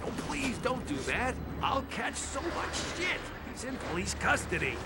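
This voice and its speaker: A man playing a rattled, griping, excitable police ally in a video game, with a wry manner. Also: extremely high pitch, moderate pace, heavily acted. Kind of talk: video game cutscene acting. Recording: loud music behind the voice.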